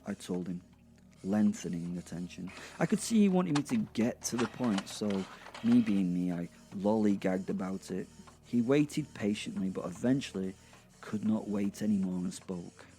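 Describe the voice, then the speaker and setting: A man narrating a first-person horror comedy story with a theatrical, relishing manner and dramatic pauses. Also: on the low side, dark tone, a little hiss or hum. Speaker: narrator and host.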